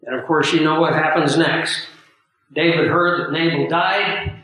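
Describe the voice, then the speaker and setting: Male preacher, hoarse and scratchy, high and bright, earnest and emphatic, heard from a distance. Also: steady tone. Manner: earnest, emphatic, unhurried teaching with pauses. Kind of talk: sermon teaching with live audience. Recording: very echoey room, voice far from mic.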